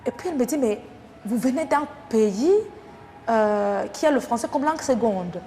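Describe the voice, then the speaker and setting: Woman from Rwanda with a slightly high voice, interviewee; thoughtful and halting delivery. Reverberant room, faint noise. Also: animated, dark tone. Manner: thoughtful, with many pauses and fillers. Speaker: interviewee, French teacher. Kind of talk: casual interview talk.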